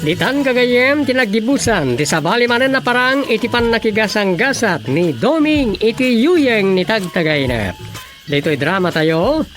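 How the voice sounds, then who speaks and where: A man with an Ilocano accent announcing a radio drama in a scripted style. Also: high voice.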